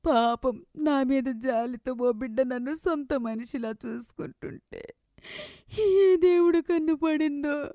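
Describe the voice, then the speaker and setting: A woman voicing a sorrowful, loving old grandmother in a moral tale, lamenting tearfully in drawn-out phrases. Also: sits high, mellow, no echo, clean studio sound, pitched above the performer's own voice.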